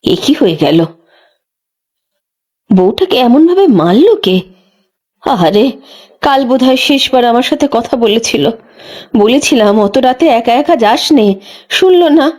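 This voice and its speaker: A woman's voice: a little high, sing-song, unhurried, pauses often, darkish tone. Woman acting a careworn village midwife. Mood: shocked, lamenting. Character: weary, careworn village midwife, a caring but burdened wife. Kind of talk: dramatised village horror story dialogue.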